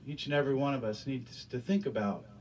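A middle-aged male pastor leads prayer in an earnest, halting cadence, doubling back on his words. His voice sits in an ordinary middle pitch and is picked up a little off the mic. A faint hum of the small church room hangs behind him. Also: a little mellow, recorded from a distance. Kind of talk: sermon and prayer. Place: small church stage with curtains. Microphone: handheld microphone.

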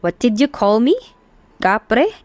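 A woman's voice: a little high, big pitch swings, slow, darkish tone, no room sound. A woman voicing a cocky, teasing young man who talks in a smug way.

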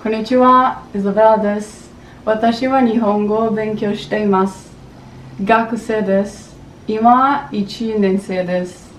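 A woman's voice: mid-range voice, steady tone, measured pace. A young woman of eighteen introduces herself in a careful, practised way from her bedroom desk. The room rings around her, and the camera sits a little way off, with a faint hiss underneath. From Brazil. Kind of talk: short self-introduction to camera. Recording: echoey room, mic a bit far.

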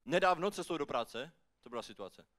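A man's voice: on the high side, lively intonation, fairly bright tone. A man performing rhymed slam poetry with theatrical, rhythmic storytelling. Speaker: slam poet. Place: live slam poetry final on stage.

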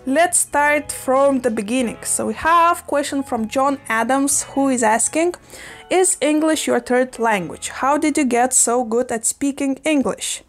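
A young woman with a slightly high voice, whose first language is Russian, chats at speed into a fixed camera from a small room crowded with plants. Her talk gushes and spills over with exclamations. Soft background music plays faintly beneath her. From Russian-speaking, living in Lithuania. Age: young adult. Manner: fast, gushing, chatty with many exclamations. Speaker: plant vlogger. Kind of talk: casual chat while repotting plants. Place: small indoor room full of plants and shelves. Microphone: fixed camera at desk.

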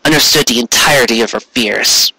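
Male narrator, intense dramatic story reading, medium pitch, dry recording, occasional stumbles. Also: fairly quick, sharp tone, slightly rough, dry recording, rough recording. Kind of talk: dramatic reading of fan fiction.

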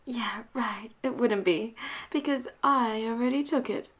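A woman acting a flirty, dominant and cocky female pirate captain in a roleplay audio drama, teasing and breathy, often dropping toward a whisper. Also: on the high side, unhurried. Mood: teasing, curious. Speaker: voice actor.

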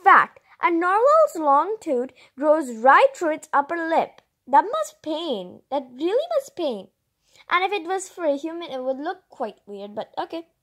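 A female host reading a children's picture book aloud, expressively, with chatty asides and drawn-out emphasis. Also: high voice, sing-song, fairly bright tone.

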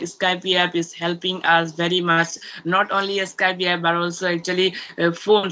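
A woman interviewing a guest, speaking hesitantly with many pauses and fillers. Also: on the low side, pushed and bright, poor audio. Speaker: interviewer, channel host. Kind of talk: casual interview.

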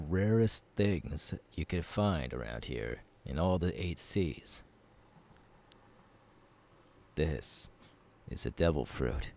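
A man voicing a gruff, easygoing pirate captain in an ASMR roleplay, gravelly and unhurried, talking intimately to the listener. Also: deep voice, a little mellow, exaggerated voice. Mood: commanding, coaxing. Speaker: voice actor.

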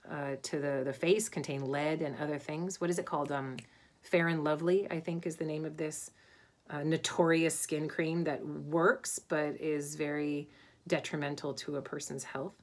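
Middle-aged female academic, lower pitch, informal webcam lecture from a home study, searching for words as she goes. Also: steady tone, moderate pace. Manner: thoughtful, searching for words with many ums and restarts. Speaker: Egyptologist. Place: home study with bookshelves, indoors.

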